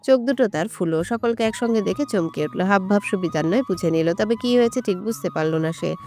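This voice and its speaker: A woman's voice: medium pitch, fairly quick, dark tone, soft background music. A woman reading a scripted romantic story as its narrator.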